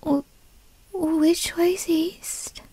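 High, whispery young fox-spirit girl, acted by a woman. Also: fairly even intonation, dark tone, no echo, heavily acted. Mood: frightened, tearful. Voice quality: breathy, often whispery. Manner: halting, stammering, skittish. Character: young bratty female fox spirit, timid but defensive. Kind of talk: ASMR roleplay, scripted character acting.

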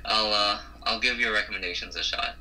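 Deep, sharply bright male caller. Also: steady tone, fairly quick, poor audio. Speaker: coaching student, caller. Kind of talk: casual chat. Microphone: phone call on speakerphone.

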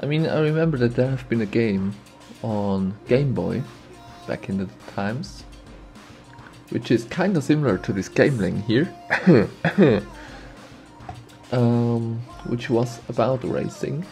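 A man's voice: mid-range voice, lively intonation, slow, long pauses, mellow, soft background music. A man with a slight sniffly cold, casually commenting on a game he plays and thinking aloud as he goes. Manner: thinks aloud, muses and repeats himself. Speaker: let's play host.

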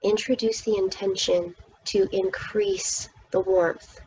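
Slow-speaking woman guiding a meditation. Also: medium pitch, steady tone, a little mellow, lo-fi sound, slight background noise. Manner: soothing, often dropping to a breathy whisper. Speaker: guided meditation teacher. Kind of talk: guided meditation narration.

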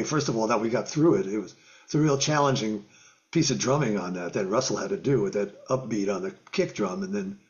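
An older man on a video call from his home music room, telling lively stories as an interview guest, acting out quotes and sound effects and laughing often. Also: on the low side, dull audio. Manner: animated storyteller, acts out quotes and guitar sounds, laughs often. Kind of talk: storytelling in a casual interview. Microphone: video call webcam.

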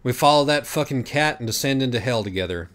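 A man voicing a brash, foul-mouthed young girl in an audiobook story, bossy, forceful and sarcastic. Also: mid-range voice, expressive, fast talker, pitched above the performer's own voice, close to an everyday voice. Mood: grimly determined.

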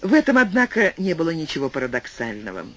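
A man's voice, likely synthetic, reading an essay aloud for an audiobook in an even, bookish way, speaking quotation marks aloud word for word. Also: fairly high pitch, fast. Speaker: audiobook narrator.

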